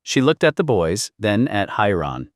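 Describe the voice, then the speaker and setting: A man's voice: lowish voice, big pitch swings, measured pace, no echo, studio quality. A man narrating a historical saga as an audiobook, in a solemn, theatrical scripted style.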